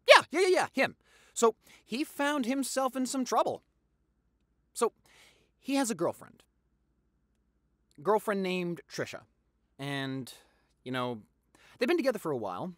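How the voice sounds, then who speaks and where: Male actor as young boyfriend, playful gossip, very animated pitch, affectionate, theatrical teasing, audio roleplay, close dry sound. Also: no room sound. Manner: theatrical, teasing, drawn-out emphasis. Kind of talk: dramatic boyfriend audio roleplay, addressed to listener.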